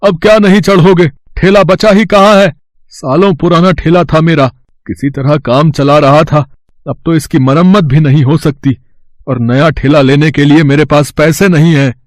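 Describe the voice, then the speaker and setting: A man acts as a humble, troubled vegetable seller in a cartoon moral tale. His voice is high for a male, earnest and plaintive. It is recorded very dry. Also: fairly even intonation, normal speed, dry recording, close to an everyday voice. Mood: upset, despairing. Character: poor, troubled, kind-hearted village vegetable seller.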